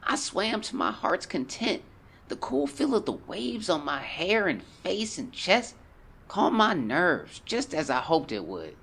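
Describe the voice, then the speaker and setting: Melodramatic child diarist, 1910s adult actor; husky voice, very varied intonation, old-fashioned formal diction. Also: mid-range voice, measured pace, exaggerated voice. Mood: distressed. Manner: formal, plaintive, expressive. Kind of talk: audio drama diary reading, old-fashioned diction.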